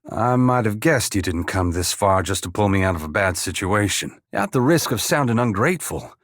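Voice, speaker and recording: An actor playing a humble, weary dead farmer-saint in a fantasy video game, plain-spoken yet solemn, with a gravelly, husky voice. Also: male voice, fairly low pitch, no room sound, studio quality. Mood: wry, humble. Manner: plain-spoken rustic phrasing mixed with solemn archaic oratory. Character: humble dead farmer-saint, once god-touched, wry, earnest and weary. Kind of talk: dramatic fantasy game dialogue.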